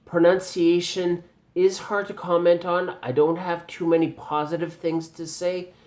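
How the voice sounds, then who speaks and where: A man with a Canadian accent giving a measured, teacherly video response on pronunciation, pausing often with ums. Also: high voice, little pitch movement, a little mellow. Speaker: video commentator reviewing pronunciation. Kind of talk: slow spoken video response.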